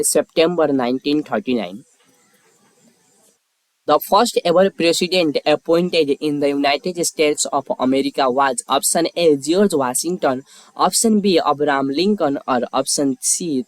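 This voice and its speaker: A man hosting a quiz, reading scripted questions and lettered options in a measured, formulaic way. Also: on the high side, dry recording, poor audio. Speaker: quiz host.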